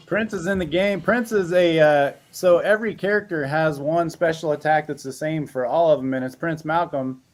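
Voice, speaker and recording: A man's voice: fairly high pitch, normal speed. A man speaking as a game developer guest, upbeat and enthusiastic in casual chat.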